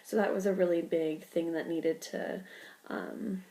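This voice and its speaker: A woman's voice: fairly low pitch, fairly even intonation, measured pace, warm tone, rough recording. A young woman with a cold and a stuffy voice, giving an informal class presentation full of ums and likes. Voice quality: gravelly creak. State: congested with a cold. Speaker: student presenter.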